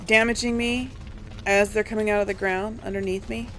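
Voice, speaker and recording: A woman's voice: mid-range voice, steady tone, fairly quick, fairly bright tone, a little hiss or hum. A woman hosting a video game playthrough in casual commentary, thinking aloud as she plays. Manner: thinking aloud, musing to herself. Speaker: host of a game playthrough series.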